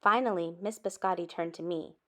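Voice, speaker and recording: A woman reading a children's story aloud expressively, with dramatic emphasis and drawn-out words. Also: fairly low pitch, steady tone, bright, forceful tone. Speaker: storybook reader.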